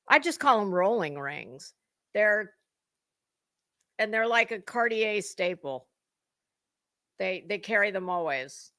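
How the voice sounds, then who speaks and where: A woman's voice: fairly high pitch, a bit bright, no room sound, clean studio sound. A woman hosting a livestream, chatting casually with viewers in a rambling, informal way. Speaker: livestream host.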